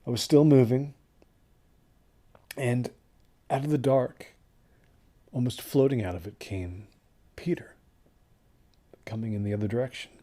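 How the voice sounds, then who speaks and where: An actor playing a traumatized, exhausted man recounting a doomed desert trek in a halting, hushed horror audio drama narration. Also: medium pitch, close to an everyday voice. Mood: dazed, hushed. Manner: halting, hushed, haunted delivery.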